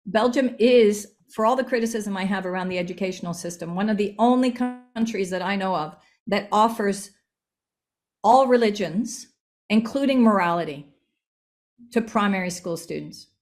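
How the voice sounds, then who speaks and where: A woman's voice: mid-range voice, moderate pace, a little mellow. A woman speaking earnestly as a panel speaker in a group discussion session.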